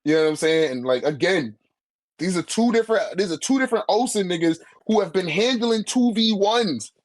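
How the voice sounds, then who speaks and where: A man chatting casually in an animated, slangy manner with heavy swearing, as a guest in a friendly debate. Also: sits high, a little fast. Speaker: guest debating a manga tier list. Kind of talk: casual chat.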